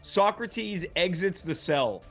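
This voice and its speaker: A man's voice: sits high, expressive, slow pace, a bit bright, soft background music. A man narrating a comedic table read, reading screenplay directions aloud.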